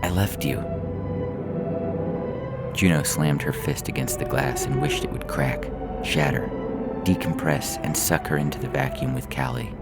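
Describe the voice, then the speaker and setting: A man's voice: a bass voice, moderate pace, vocal fry. A man reading a science fiction story as dramatic, scripted audiobook narration. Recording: scary music behind the voice. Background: ominous music.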